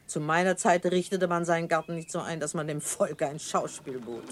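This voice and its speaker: Actress as stern, low-voiced old marquise. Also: female voice, fairly even intonation, normal speed, noisy background, close to an everyday voice. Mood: haughty. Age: older. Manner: haughty, dry, imperious. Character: severe, pious elderly French marquise of the old school. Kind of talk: German radio play acting.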